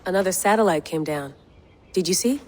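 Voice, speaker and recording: An actress playing a weary, terse and stoic field agent in a naturalistic video game scene. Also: female voice, fairly low pitch, animated, fairly quick, a little mellow, natural voice. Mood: weary, subdued.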